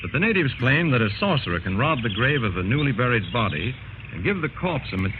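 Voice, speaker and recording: A man's voice: mid-range voice, sing-song, fairly quick, close to an everyday voice. A man acting a worldly, skeptical senior investigator in a vintage radio drama, speaking in a knowing, instructive manner. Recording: muffled, hissy old radio recording.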